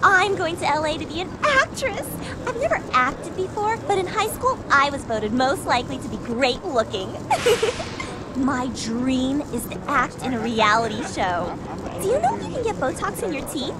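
Bubbly, high-pitched ditzy young blonde. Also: female voice, moderate pace. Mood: gushing, cheerful. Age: young adult. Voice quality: girlish, giggly. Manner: gushing, airheaded, very expressive. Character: bubbly, vain young blonde woman, aspiring actress. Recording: loud vehicle noise behind. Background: bus engine drone.

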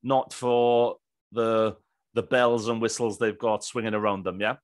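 A man's voice: on the low side, flat delivery, a little slow. A man in his thirties hosting a casual interview in an easygoing, chuckly way. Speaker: podcast host, interviewer. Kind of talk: casual chat. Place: small indoor room, video call. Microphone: boom-arm microphone with headphones.

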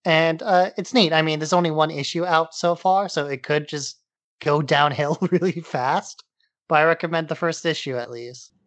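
A man's voice: on the high side, normal speed, fairly bright tone, dry recording. A man co-hosting a podcast, talking casually and conversationally. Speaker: podcast co-host.

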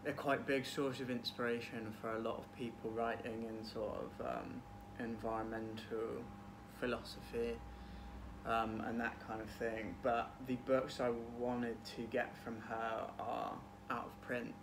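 A man in his twenties talks in a thoughtful, halting way, as if in casual chat at home. His voice sits at an average pitch. The recording has clear noise, picked up by a camera mic a little way off in a small room. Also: level tone. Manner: hesitant, thoughtful, trailing phrasing. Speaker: book vlog guest, PhD student. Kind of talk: casual chat about books.

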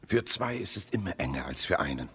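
An actor playing an insistent, mistrustful husband in a German radio play, speaking in clipped, deliberate phrases with a husky voice. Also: male voice, sits low, big pitch swings, fairly bright tone, heavily acted. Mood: guarded, measured. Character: insistent, mistrustful husband exploring underground with his wife.

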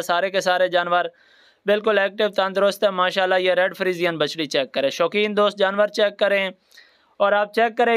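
A man's voice: high voice, fairly bright tone, smooth. A man with a Pakistani accent narrating a promotional livestock sales video in repetitive sales patter.

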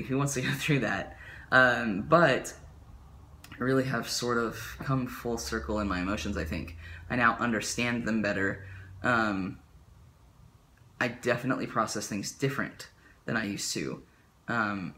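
Bright-voiced young man rambling to camera. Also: medium pitch. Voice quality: voice cracking. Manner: rambling with many fillers and likes. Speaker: vlogger. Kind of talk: casual talk to camera. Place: small bedroom with ceiling fan.

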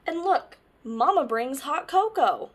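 Slow, very animated young woman reading to children. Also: high-pitched, background noise. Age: young adult. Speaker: storytime host. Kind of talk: children's picture book read-aloud.

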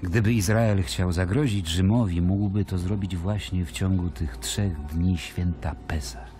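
Radio drama lead: a disdainful, brooding Roman governor, very low voice, music underneath. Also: male voice, warm tone. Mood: tense, disdainful. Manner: brooding, measured, disdainful, dropping at times to near whisper. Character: Pontius Pilate, weary, wary Roman governor. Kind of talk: dramatic monologue in a radio play, letter read aloud. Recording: music bed behind the voice.

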